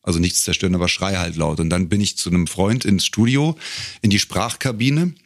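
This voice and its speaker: A man's voice: sits low, brisk, dry recording, clean studio sound. A middle-aged man chatting casually as an interview guest, telling anecdotes with a joking touch. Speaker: podcast guest, professional voice-over speaker and singer. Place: close-miked in a dry room.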